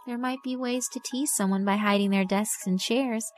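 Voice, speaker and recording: A woman's voice: a little high, fairly even intonation, moderate pace, lightly acted. A woman voicing a mysterious schoolgirl in a visual novel, knowing, teasing and uncanny. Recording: music behind the voice.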